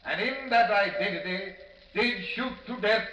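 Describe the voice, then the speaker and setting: An actor playing a solemn male judge who speaks formally and deliberately, in a declamatory 1940s radio style. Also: high-pitched, bright, forceful tone. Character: solemn, stately male judge addressing a jury. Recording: distant, echoey, dull old radio recording.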